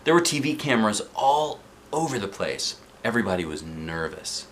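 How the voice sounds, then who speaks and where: Animated man reading a children's book aloud. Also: on the low side, moderate pace, a little hiss or hum. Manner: expressive, acts out the story. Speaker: dad reading books aloud.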